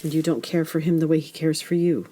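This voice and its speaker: A woman acting a wise, low-voiced mother. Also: quick pace, dark tone, slight background noise, close to an everyday voice. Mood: calm, concerned. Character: wise, calm middle-aged mother. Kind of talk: audio play acting of a period novel.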